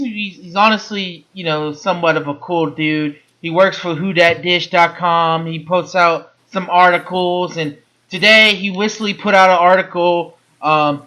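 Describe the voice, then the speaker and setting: A man's voice: sits high, pushed and bright. A man hosting a casual sports podcast, talking loosely and repeating words as he goes. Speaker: podcast host.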